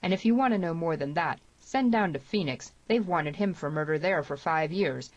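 Woman as a stern, low-voiced Western gunman. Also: animated, moderate pace, no echo, lo-fi sound. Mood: firm accusation. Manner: firm, challenging. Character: stern, proud young Western gunman, a man, steely and defiant.

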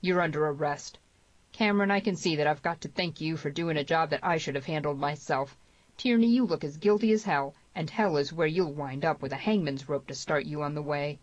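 A woman voicing a gruff, gray-headed male sheriff in a Western audiobook, blunt, demanding and authoritative. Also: deep voice, fairly quick, no echo, lo-fi sound. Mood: condemning.

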